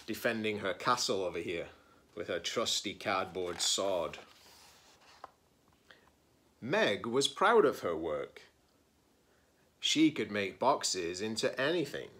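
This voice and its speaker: Man reading aloud with animated pitch. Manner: expressive storyteller, stressing key words. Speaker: teacher-librarian. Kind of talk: picture-book read-aloud for children with casual asides.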